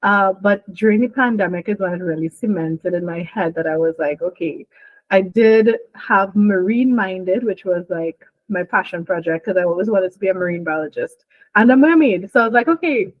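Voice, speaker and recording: A woman's voice: mid-range voice, normal speed, no room sound. Young trini woman explaining stuff chattily, tinny phone line, dry sound. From Trinidad and Tobago. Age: young adult. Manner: lively, laughing, explanatory. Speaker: marine biologist guest. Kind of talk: casual interview chat.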